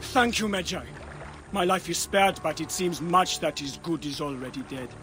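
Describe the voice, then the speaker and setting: An actor playing a gentle, pious and troubled ancient Egyptian priest in a video game, solemn and pleading. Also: male voice, a little high, normal speed, music in the background, close to an everyday voice. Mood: grieved, pious.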